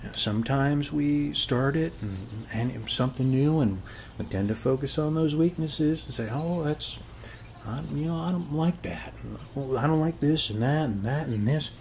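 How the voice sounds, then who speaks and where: A man's voice: on the high side, moderate pace, a little mellow, a little hiss or hum, pitched above the performer's own voice, natural voice. A man playing a friendly, chatty suburban neighbor in a casual ASMR roleplay, rambling reassuringly in a folksy way and tagging phrases with short check-in questions. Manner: folksy, reassuring, repeats "okay?" as a tag. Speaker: ASMR performer.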